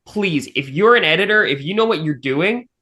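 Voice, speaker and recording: A man's voice: high voice, animated, normal speed, pushed and bright. A man chatting casually on a podcast, emphatic and often repeating his phrases. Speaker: fandub voice actor on a team podcast. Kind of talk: casual chat.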